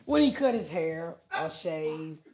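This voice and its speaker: A middle-aged woman with a deep voice, a podcast guest telling blunt, profane stories with laughter, on a studio desk mic. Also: lively intonation, takes it slowly, crowd noise. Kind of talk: raunchy comedic storytelling. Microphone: desk mic on boom arm.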